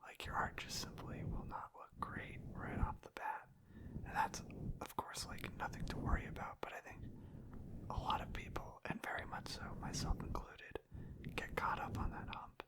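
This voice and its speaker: A young man speaks in a whisper for an ASMR recording. He rambles gently and hesitantly, feeling his way from thought to thought. The delivery is intimate, close to the microphone. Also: moderate pace, rough recording. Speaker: ASMR host.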